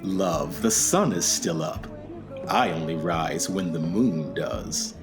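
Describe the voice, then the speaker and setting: A man's voice: deep voice, takes it slowly, music in the background. A man playing a brooding, theatrical goth boyfriend in a radio play, solemn and melodramatic.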